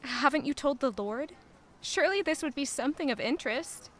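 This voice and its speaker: Young royal heroine, fairly high voice, reflective, first-person audio drama narration. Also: female voice, expressive, a little fast, a bit bright, a little hiss or hum. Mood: earnest, helpful. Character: thoughtful young royal woman narrating her own story.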